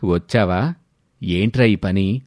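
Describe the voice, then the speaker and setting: Man doing a low, stern father. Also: takes it slowly, darkish tone, dry recording, studio quality. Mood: angry. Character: stern, indignant father of grown children.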